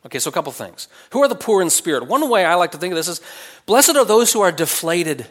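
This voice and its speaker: A man preaching in an expository style, reading verses and explaining them conversationally, posing questions and answering them, swinging between emphatic phrases and quieter asides. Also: high voice, very animated, fast. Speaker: preacher teaching scripture.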